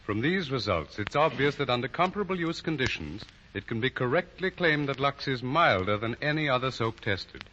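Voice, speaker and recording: A man's voice: medium pitch, big pitch swings, fairly quick, few pauses, pushed and bright, lo-fi sound. An actor playing a formal, confident male scientist in a 1940s radio commercial. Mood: assured. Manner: formal, authoritative. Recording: noisy, band-limited 1940 radio broadcast.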